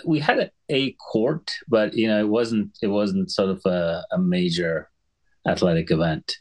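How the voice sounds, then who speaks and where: A middle-aged man from Sri Lanka with a deep, low voice, talking as a guest in a relaxed interview. He explains things in a measured, unhurried way and stops now and then to clear his throat. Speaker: guest physician. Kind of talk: conversational podcast interview.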